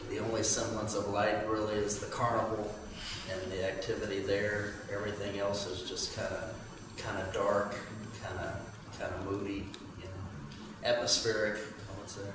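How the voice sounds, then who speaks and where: Male screenwriter, lowish flat delivery, casual panel talk in a reverberant, distant recording. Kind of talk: panel question-and-answer, casual. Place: indoor room at a table read event. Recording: distant, very echoey, noisy room.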